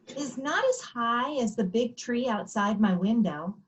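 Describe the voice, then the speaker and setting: Woman as a high-voiced little princess. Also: lively intonation, unhurried, a little hiss or hum. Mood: matter-of-fact. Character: sweet, matter-of-fact little princess, young girl. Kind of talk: readers theater story performance. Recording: slightly dull, muffled sound.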